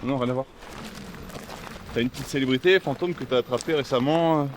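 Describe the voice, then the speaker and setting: A young man, talking loud and eager, stammering a bit, in an improvised comedy chat outdoors. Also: medium pitch, a little fast, slight background noise. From Brussels, Belgium. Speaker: sidekick in a sketch.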